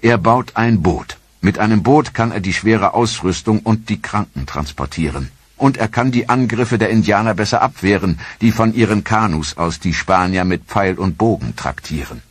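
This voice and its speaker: Male documentary narrator, very low, husky voice, dry studio sound, measured delivery. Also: animated, dry recording. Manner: measured, sober storytelling. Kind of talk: scripted narration.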